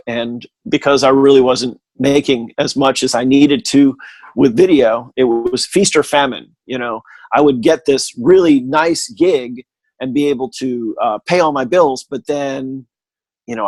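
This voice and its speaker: A middle-aged man telling stories in a casual chat, animated and full of jokey asides. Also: mid-range voice, no room sound. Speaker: guest. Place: living in Texas.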